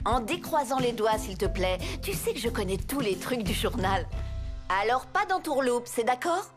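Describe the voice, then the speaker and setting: A woman's voice: a little high, very animated, moderate pace, over music. A woman voicing a shrewd, affectionate but firm mother in a French cartoon, speaking in a knowing way. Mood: stern, knowing.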